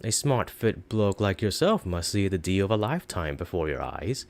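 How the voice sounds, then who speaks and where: A man acts out a sly storybook pawnbroker, boastful and full of flattery. His pitch leaps about as he delivers theatrical, wheedling sales patter. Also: normal speed. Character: sly, boastful, flattering pawn shop owner, a swindler.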